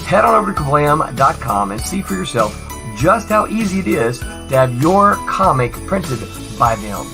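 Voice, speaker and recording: Male promo announcer, animated delivery, scripted read over festive bell-filled music. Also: on the high side, normal speed, creaky, hardly any pauses. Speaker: stream host and sponsor announcer. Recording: jingling Christmas music bed. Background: Christmas music with jingle bells.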